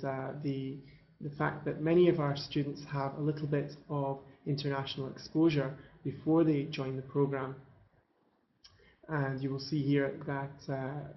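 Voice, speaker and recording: A man presenting a business school admissions webinar, speaking in a measured way with frequent fillers and restarts. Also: medium pitch. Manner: measured, halting with many fillers. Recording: echoey, dull lo-fi webinar audio.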